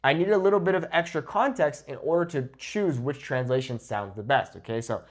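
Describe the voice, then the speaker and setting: A man's voice: mid-range voice, a little fast. A man in his thirties giving an instructional language lesson to camera in an emphatic, didactic way, checking in often with the listener. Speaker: Spanish teacher. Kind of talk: instructional lesson to camera, switching between English and Spanish examples. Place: indoors, in front of a whiteboard. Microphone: lapel mic clipped to the shirt.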